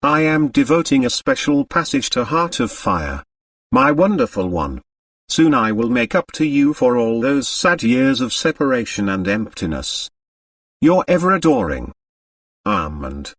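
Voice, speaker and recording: Audiobook role: smooth male scoundrel writing love letters read by a male narrator, ardent and florid, with expressive pitch. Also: measured pace, no room sound, clean studio sound, far from the mic, heavily acted. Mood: ardent, flattering. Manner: florid, romantic, reading as a letter. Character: ardent, flattering secret lover, a suave male scoundrel.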